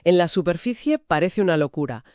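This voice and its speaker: A woman's voice: lowish voice, lively intonation, brisk, darkish tone, no room sound, clean studio sound. A woman with a Castilian Spanish accent co-hosting a conversational discussion about literature. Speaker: co-host of a book discussion.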